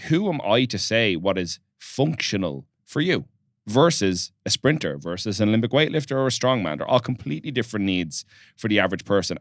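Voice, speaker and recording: A man's voice: on the low side, no echo, studio quality. A young man hosting a casual podcast interview, blunt and swearing freely as he talks. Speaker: podcast host.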